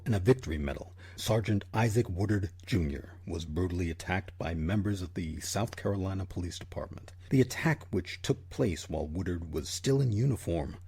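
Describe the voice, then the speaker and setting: Husky, low-voiced male narrator. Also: darkish tone. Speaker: host and narrator. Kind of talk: scripted documentary narration.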